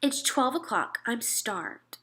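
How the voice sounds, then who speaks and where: A woman's voice: fairly high pitch, animated, slow pace, sharp tone, exaggerated voice. A woman voicing a no-nonsense storybook mother who talks firmly and coaxingly, as if reasoning with a child. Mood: persuading. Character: no-nonsense mother of two young boys, firm, coaxing.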